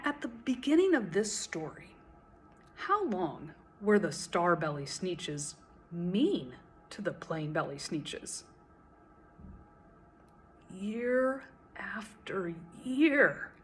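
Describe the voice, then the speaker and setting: Female children's storyteller; expressive rhyming recitation, leisurely pace, lively intonation. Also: lowish voice, takes it slowly, pauses often, a little hiss or hum. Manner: expressive, theatrical.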